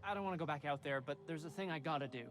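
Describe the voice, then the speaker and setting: Actor playing a jittery, higher-voiced male scientist, music behind. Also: rapid-fire, close to an everyday voice. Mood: nervous, flustered. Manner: jittery, hesitant, stammering. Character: nervous, rambling male lab scientist hiding from monsters. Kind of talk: video game dialogue acting.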